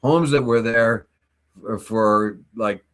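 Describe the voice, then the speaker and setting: A man co-hosting a morning news talk show, with a voice that sits on the low side. He talks through the news in a loose, opinionated ramble, often restarting his sentences. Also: slow pace, a bit bright. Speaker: co-host.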